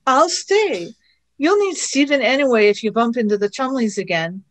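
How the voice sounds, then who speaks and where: Actress playing plucky young woman, highish voice, very animated, pert, brave, radio drama. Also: moderate pace, lightly acted. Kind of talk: radio play acting.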